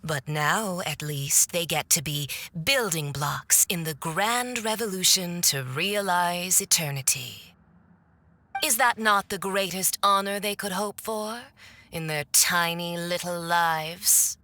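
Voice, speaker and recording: A woman's voice: lowish voice, takes it slowly, sharp tone. A woman playing a haughty, mocking villainous diplomat in a video game, with a condescending, theatrical drawl. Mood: disdainful. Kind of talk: video game cutscene voice acting.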